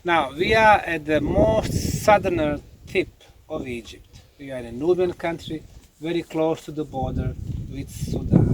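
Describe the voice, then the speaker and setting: A man lecturing on location as a documentary presenter, speaking emphatically and deliberately. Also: on the high side, background noise. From Bosnia. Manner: emphatic, deliberate, asks rhetorical questions.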